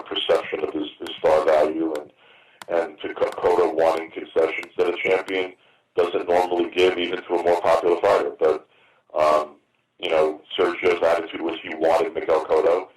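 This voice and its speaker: A man in his fifties with a very deep voice answers as a promoter on a conference call. His delivery is even and halting, with repeated words. The line is roomy and distant. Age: 50s. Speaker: boxing promoter taking reporters' questions. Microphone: phone on a conference call. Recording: roomy, distant, noisy teleconference phone line.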